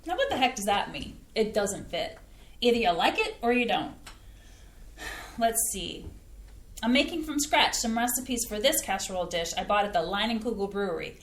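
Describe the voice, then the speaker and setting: A woman's voice: medium pitch, sing-song, moderate pace, close to an everyday voice. An actress playing a chatty, homey Midwestern mother who is proud of her cooking, in a staged reading of a play. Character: folksy chatty Midwestern mom, proud home cook. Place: community theater stage. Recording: echoey theater room.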